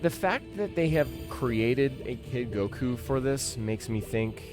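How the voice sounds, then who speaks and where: A man's voice: mid-range voice, expressive, a little slow. A man in his thirties giving casual, rambling, opinionated commentary as he streams a video game. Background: video game music and sound.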